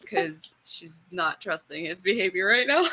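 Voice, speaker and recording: A woman's voice: on the low side, animated, measured pace, pushed and bright, husky, rough recording. A woman chatting casually as a player in a tabletop roleplaying game.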